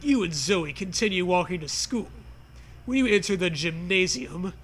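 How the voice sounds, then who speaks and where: A man's voice: sits high, lively intonation, normal speed, fairly bright tone. A man reading a comic storybook aloud, with casual banter mixed in. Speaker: reader of a comic choose-your-own-adventure book.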